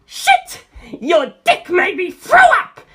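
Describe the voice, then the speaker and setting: A woman voicing a crude, violent male bully in a storybook reading, with shrill, strained yelling. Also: huge pitch swings, takes it slowly, exaggerated voice. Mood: enraged. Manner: yelling, foul-mouthed outbursts.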